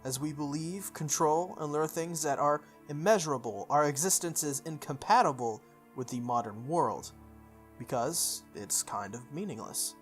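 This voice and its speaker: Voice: mid-range voice, normal speed. A game streamer reading visual novel text aloud, slipping into informal, offhand asides. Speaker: video game streamer doing a playthrough. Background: music.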